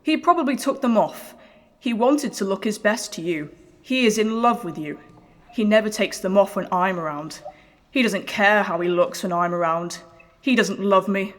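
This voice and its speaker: A woman's voice: medium pitch, normal speed, close to an everyday voice. A young woman acting in an audio drama as a jealous, aggrieved wife, speaking in an earnest, plaintive and dramatic way. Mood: hurt, accusing. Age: young adult. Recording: reverberant hall-like room.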